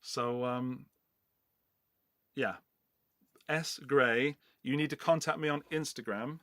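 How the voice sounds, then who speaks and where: Man, middle-aged, rambling live-stream host, bright tense tone, close dry sound. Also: mid-range voice, slow pace, no echo. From England, Forest of Dean in Gloucestershire. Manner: rambling, chatty, loose asides to chat. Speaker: livestream host running a giveaway. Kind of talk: casual live-stream chat.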